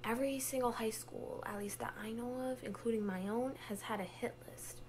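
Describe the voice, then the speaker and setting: Young woman, deadpan, hushed near-whisper at times, phone selfie video. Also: a little high, fairly quick, slight background noise. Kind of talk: casual social video monologue.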